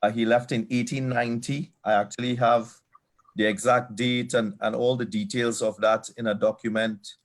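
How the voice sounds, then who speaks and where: A man's voice: mid-range voice, little pitch movement, normal speed. A man with a Trinidadian accent speaks earnestly at an online event, his mid-range voice broken by frequent fillers and restarts. The sound comes through a video call. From Trinidad and Tobago. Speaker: guest speaker.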